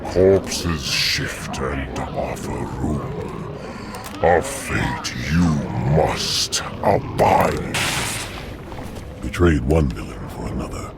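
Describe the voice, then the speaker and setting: An actor playing an ancient, menacing alien hive-mind monster with a guttural, booming voice and portentous, verse-like speech in a video game. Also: male voice, animated, extremely slow, gravelly, noisy background, exaggerated voice. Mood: ominous, brooding. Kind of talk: dramatic video game voice acting.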